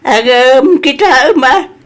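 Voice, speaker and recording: Concerned Filipino village woman played by an actress, high voice, measured pace, Ilocano accent, frank elder-sister scolding for radio drama. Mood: concerned, reproving.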